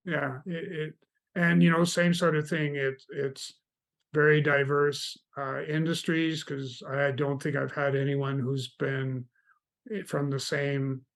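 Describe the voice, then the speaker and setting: Gravelly, even-toned Canadian man. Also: a little high, slow pace. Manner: relaxed, meandering storytelling. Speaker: mentoring guest. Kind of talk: casual interview chat.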